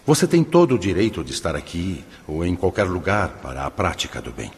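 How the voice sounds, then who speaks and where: An actor playing a benevolent, wise spirit mentor in a radio drama, explaining solemnly and patiently. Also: male voice, sits low, big pitch swings. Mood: gently admonishing. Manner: solemn, didactic, measured explaining. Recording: long cavernous reverb, loud music underneath. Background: music.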